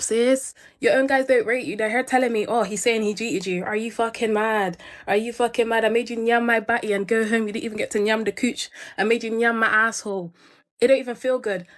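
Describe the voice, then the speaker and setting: Crude ranting young woman. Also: on the high side. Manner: crude, mocking, heated. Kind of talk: angry rant in a clip.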